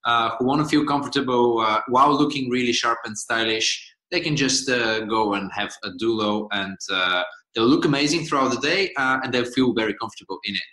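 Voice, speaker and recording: A man's voice: mid-range voice, brisk, sharp tone, roomy sound, low-quality recording. A man in his twenties from Bulgaria, answering interview questions earnestly, with frequent hesitations. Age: 20s. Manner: earnest, hesitant, many "uh" fillers. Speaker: guest, startup founder.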